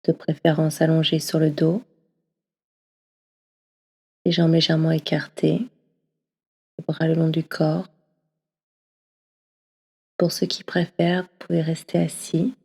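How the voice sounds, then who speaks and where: Breathy, mellow woman guiding meditation. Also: low-pitched, flat delivery. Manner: slow, soft, often half-whispered delivery. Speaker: yoga nidra guide. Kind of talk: guided meditation. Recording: long reverb as in a hall.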